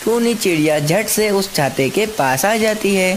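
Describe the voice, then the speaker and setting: A woman's voice: medium pitch, expressive, a little slow, a little hiss or hum. A woman reading scripted narration for a children's cartoon story.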